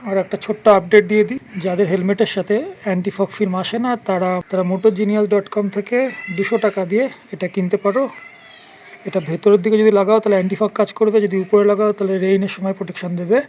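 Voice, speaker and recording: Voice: medium pitch, flat delivery, fast talker, darkish tone, muffled recording. A vlogger giving a casual hands-on product review, explaining things informally and offering practical advice to the viewer. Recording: clearly noisy ambient background.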